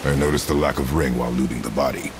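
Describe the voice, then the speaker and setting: Very deep, stern warrior. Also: male voice, fairly even intonation, fast talker, noisy background. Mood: stern, curt. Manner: curt, formal, no contractions. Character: stern, terse, battle-hardened warrior father. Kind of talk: video game character acting.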